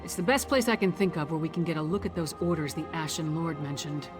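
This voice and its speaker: A man's voice: a little high, fast, lightly acted. A man playing an earnest, scholarly investigator in a video game, explaining plans. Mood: concerned, focused. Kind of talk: video game quest dialogue. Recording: game music behind.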